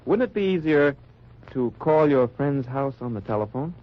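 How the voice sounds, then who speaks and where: A man's voice: medium pitch, lively intonation, moderate pace, a little hiss or hum, close to an everyday voice. An actor playing an undercover wartime agent posing as a wine merchant, narrating his mission in an old radio drama. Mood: polite, probing. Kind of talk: 1940s-50s radio drama acting and narration. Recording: dull old radio recording with music.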